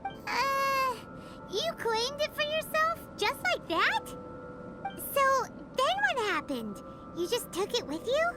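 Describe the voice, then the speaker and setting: Very high squeaky little fairy companion. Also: female voice, slow, over loud music, heavily acted. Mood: curious, uneasy. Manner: inquisitive, expressive. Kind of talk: video game dialogue acting.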